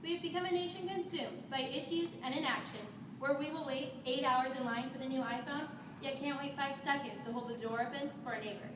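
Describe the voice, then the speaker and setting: Quick, high-voiced young woman presenting. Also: far from the mic, noisy background. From Nebraska, USA. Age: young adult. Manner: brisk, memorized delivery. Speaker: student presenter. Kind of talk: rehearsed team presentation. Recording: echoey hall, recorded from afar.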